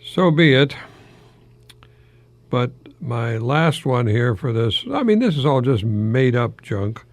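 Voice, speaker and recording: An older American man hosting a folksy solo radio talk in a halting, rambling, easily amused manner. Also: medium pitch, expressive, no echo. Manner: chuckles often at his own remarks, halting and rambling. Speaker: radio host, longtime English professor.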